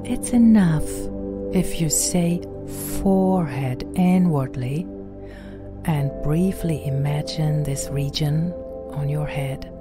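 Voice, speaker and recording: A woman with a low voice leads a guided meditation over clearly audible music. She speaks very slowly and softly, with a soothing, gentle delivery that turns breathy in places. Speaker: meditation guide and podcast host. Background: background music.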